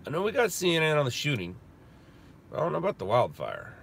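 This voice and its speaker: A man's voice: fairly high pitch, huge pitch swings, quick pace, vocal fry, a little hiss or hum. A middle-aged man hosting a live stream from his car, stuffed up and sniffling, chatting loosely as he answers viewer questions. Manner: rambling, answers chat questions out loud. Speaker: live stream host reading viewer chat. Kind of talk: casual chat. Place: inside a car while driving.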